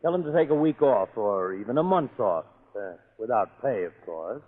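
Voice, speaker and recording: An actor playing a stingy, blustery bank boss who stammers in a 1940s radio play. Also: male voice, medium pitch, very animated, normal speed. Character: stingy middle-aged bank boss, gruff. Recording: tinny old radio recording with hall echo.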